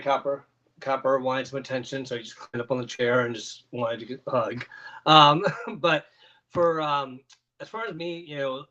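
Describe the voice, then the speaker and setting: Bright-voiced man giving frank advice. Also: mid-range voice, muffled recording. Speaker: co-host. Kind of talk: casual advice on a live video chat.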